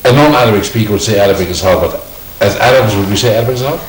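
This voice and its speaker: A man hosting a call-in studio discussion. He talks briskly, cutting in and steering the conversation. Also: on the low side, recorded from a distance. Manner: brisk, interjecting, steering the talk. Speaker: TV talk show host. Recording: echoey studio, voice off-mic with faint noise.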